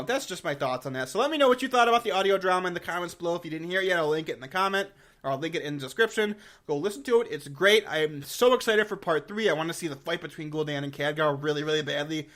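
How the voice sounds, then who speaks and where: A man's voice: a little high, fairly bright tone. A man giving casual, unscripted commentary to viewers, rambling informally and leaning hard on key words. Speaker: gaming YouTuber giving a review and channel updates.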